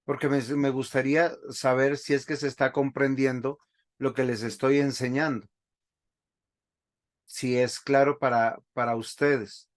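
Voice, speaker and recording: A man, a pastor teaching a Bible class over a video call, speaking slowly and stopping often to ask the class questions. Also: mid-range voice, little pitch movement. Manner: slow, halting, asks the class questions often.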